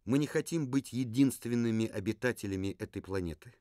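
A man's voice: mid-range voice, brisk, warm tone, dry recording, studio quality, close to an everyday voice. A man voicing a male puppet survivor from a ruined future, who talks in an earnest, lecturing way and sometimes hesitates. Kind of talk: puppet theatre performance.

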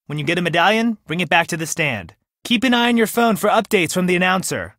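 An actor playing a brisk, eccentric reality show producer in a video game, giving clipped instructions. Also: male voice, high-pitched, expressive, fairly bright tone, no room sound, clean studio sound. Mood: brisk, instructive. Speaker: line producer.

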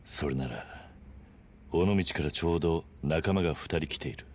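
An actor playing a stoic middle-aged yakuza veteran in a video game, terse, measured and calmly commanding. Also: male voice, rumbling low, slight background noise. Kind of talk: Japanese video game voice acting.

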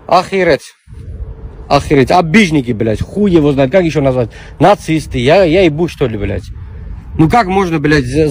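A man from Armenia in his forties, sounding slightly higher than most men, films himself outdoors with a phone at arm's length. He rants in a casual, indignant way and swears constantly. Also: darkish tone. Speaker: storyteller in a reposted clip. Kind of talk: casual angry rant. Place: outdoors on a street.

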